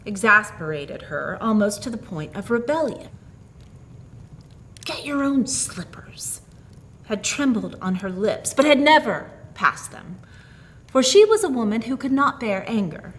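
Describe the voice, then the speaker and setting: Female storyteller: expressive narration, very varied intonation, dramatic and deliberate phrasing. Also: medium pitch, slight background noise.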